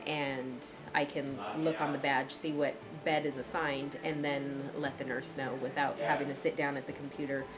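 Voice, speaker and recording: A woman's voice: rumbling low, level tone, moderate pace, hardly any pauses. A woman on a hospital staff explaining her work in a testimonial interview.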